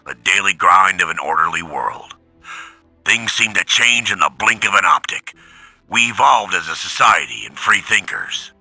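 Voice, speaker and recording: An earnest robot soldier worn down by war, reflecting for a video game audio log. The tone is raspy and very sharp, close and dry, with faint music behind. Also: male voice, low voice, no room sound, heavily acted, poor audio. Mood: weary, reflective. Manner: solemn, reflective. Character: weary robot war veteran recounting history, earnest. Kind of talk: dramatic scripted audio log narration.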